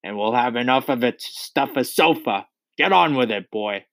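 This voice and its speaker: A man's voice: medium pitch, expressive, moderate pace, a bit bright, dry recording. A man voicing a huge, bullying headmistress from a children's storybook, barking with contempt for small children. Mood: furious, bellowing.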